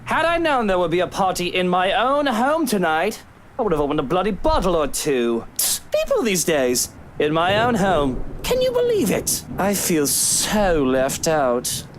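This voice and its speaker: A woman's voice: lowish voice, wildly swooping pitch, unhurried, a little hiss or hum. A woman voicing a haughty, menacing, sarcastic homeowner from a visual novel, speaking in a theatrical, condescending way. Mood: mock offended.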